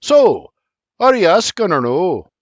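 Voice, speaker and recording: A hearty old Scottish nobleman, broad in dialect and fond of teasing, as a male storyteller puts him on. He talks in a husky, lively voice that rides well above the narrator's own. Dry close sound, like a storybook reading. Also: high-pitched, slow, dry recording, muffled recording, pitched above the performer's own voice, exaggerated voice. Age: older.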